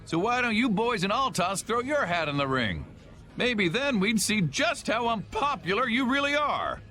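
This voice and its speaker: High, forceful, combative guild boss. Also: male voice, big pitch swings, normal speed, soft background music, exaggerated voice. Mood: proud, combative. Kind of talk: video game voice acting.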